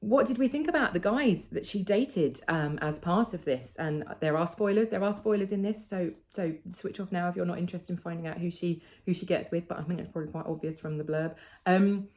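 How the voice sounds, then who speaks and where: A woman's voice: lowish voice, brisk, darkish tone. A British woman chatting casually and enthusiastically as she hosts a book club review. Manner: enthusiastic, conversational.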